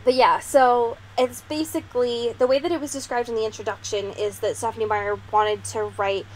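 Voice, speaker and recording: Casting: young woman, podcast host, fairly high voice, giggly casual chat, some background noise. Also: steady tone, moderate pace, darkish tone, low-quality recording. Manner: informal, giggly, frequent swearing and slang.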